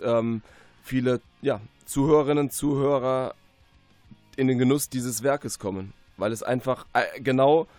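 A man's voice: medium pitch, a little mellow, no room sound. A man speaking as a guest author in a conversational radio interview, earnest, reflective and emphatic.

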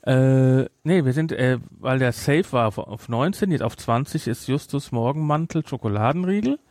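A man's voice: mid-range voice, lively intonation, moderate pace, darkish tone, dry recording, studio quality. Sarcastic man chatting on a podcast. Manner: sarcastic, incredulous asides. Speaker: podcast host. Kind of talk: casual film discussion chat.

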